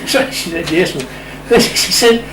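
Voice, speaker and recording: A man's voice: a little high, lively intonation, unhurried, a bit bright. Older Australian man, roomy distant recording. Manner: wry, chuckling raconteur with playful understatement. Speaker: interview guest. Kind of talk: casual anecdotal storytelling. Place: radio shack room. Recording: roomy, distant mic, clear background noise.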